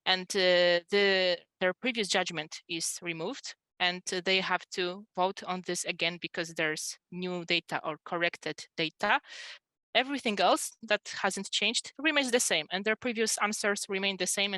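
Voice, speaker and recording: Bright-voiced woman explaining software. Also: lowish voice, no room sound. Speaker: presenter demonstrating software. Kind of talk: webinar software demonstration, explanatory. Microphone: headset on a video call.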